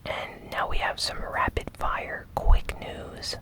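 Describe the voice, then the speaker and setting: An ASMR host recapping news entirely in a breathy, unvoiced whisper, with gentle, measured phrasing and short pauses. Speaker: host of a gaming news show.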